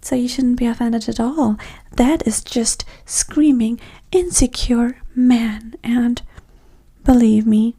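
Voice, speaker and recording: A woman doing a soft-spoken, often whispered ASMR roleplay as a vain, flirty date with a breathy, sassy, condescending manner. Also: fairly high pitch, fairly even intonation, slow.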